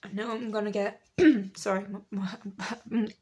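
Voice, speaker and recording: A woman in her late twenties, croaky from a cold, chatting in a rambling, self-correcting way as she talks through her printmaking. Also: medium pitch, fairly even intonation, moderate pace, a little mellow. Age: late 20s. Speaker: artist and printmaker. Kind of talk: casual chat over studio work.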